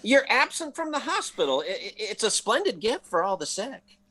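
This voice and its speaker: A man's voice: sits high, big pitch swings, moderate pace, sharp tone, full character voice. A man playing a bumbling, wisecracking husband in an old-time radio comedy, with a hesitant, stammering, folksy delivery. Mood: teasing.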